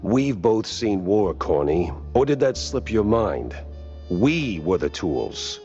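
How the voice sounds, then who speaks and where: An actor playing a world-weary cartoon mouse private eye in a video game, with a low, gruff voice and dry, wisecracking noir delivery. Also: male voice, sing-song, takes it slowly, darkish tone, dull audio, faint music behind. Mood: bitter, weary.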